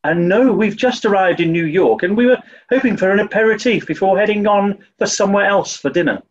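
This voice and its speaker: A man playing a dim-witted, stuffy upper-class English gentleman with an English accent in a 1940s-style radio comedy. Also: high voice, brisk, dull audio, lightly acted. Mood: polite, pompous.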